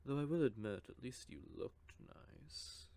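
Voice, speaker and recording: A man voicing a cocky, possessive male vampire who teases with soft whispered asides, in an audio roleplay. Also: medium pitch, expressive, unhurried, creaky. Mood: teasing. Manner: teasing, smug, with soft whispered stretches.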